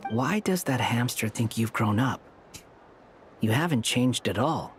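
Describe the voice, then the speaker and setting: A man's voice: medium pitch, animated, unhurried, soft background music, natural voice. A man voicing an aloof, sardonic young man in a fantasy video game, with dry sarcastic delivery. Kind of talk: video game dialogue acting.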